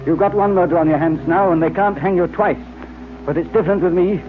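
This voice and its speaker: A man's voice: sits high, steady tone, fairly quick, faint music behind, lightly acted. An actor playing a wary, defiant henchman in an old radio crime drama. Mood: protesting. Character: wary, defiant henchman thief who balks at murder. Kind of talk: 1940s radio acting. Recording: tinny, faintly noisy old radio recording.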